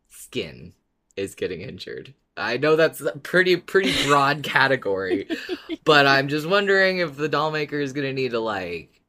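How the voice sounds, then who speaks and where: A man's voice: mid-range voice, bright, forceful tone. A man speaks as a podcast co-host in a mid-range voice. His delivery is conversational, suited to casual discussion and episode recaps.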